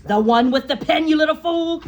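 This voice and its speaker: A woman's voice: sits high, normal speed, a little hiss or hum, voice pushed up, natural voice. A woman voicing a menacing, bullying headmistress in a story, snapping and sarcastic. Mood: scornful, angry. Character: menacing, scornful, bullying female school headmistress.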